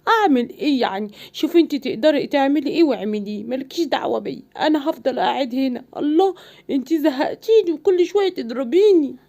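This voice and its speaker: A woman's voice: high-pitched, animated, quick pace, warm tone. An Egyptian woman voicing a sulky, defeated male lion who begs and whines in a cartoon. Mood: whining. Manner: whiny, begging.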